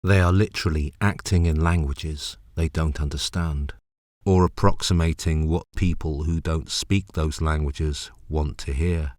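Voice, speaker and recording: Guy, really deep voice, dry close recording, narrating his own essay film, wry and rhythmic. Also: steady tone, unhurried, a little mellow, no room sound. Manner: wry, rhythmic, repetitive phrasing. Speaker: presenter, narrator, actor.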